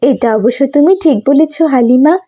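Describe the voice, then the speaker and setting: A woman's voice: high voice, brisk, warm tone, dull audio, natural voice. A woman voicing a fervent, hopeful young Palestinian woman in a cartoon, speaking emphatically. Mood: indignant. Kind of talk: cartoon dialogue acting.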